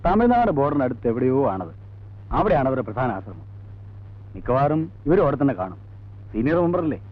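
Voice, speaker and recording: An actor playing a forthcoming witness in a 1980s film drama, explaining things in an animated way. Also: male voice, mid-range voice, brisk. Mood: explanatory. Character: forthcoming male witness, a friend recalling confidences. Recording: tinny band-limited old film soundtrack.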